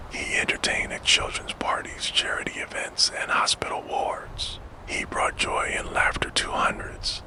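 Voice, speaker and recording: Whispering man narrating softly. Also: poor audio. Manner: unvoiced whisper, slow and deliberate. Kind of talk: ASMR whispered true crime narration.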